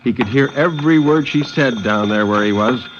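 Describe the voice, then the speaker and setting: A man giving a dramatic, deliberate and intense reading of suspense fiction in 1950s radio style. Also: mid-range voice. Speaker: story narrator. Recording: muffled, noisy 1950s radio recording.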